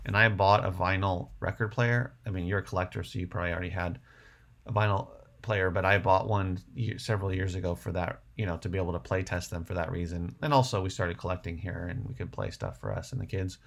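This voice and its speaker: A low, gravelly male voice with plenty of vocal fry. He talks casually as a livestream host, rambling a little and thinking out loud while he answers questions. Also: quick pace.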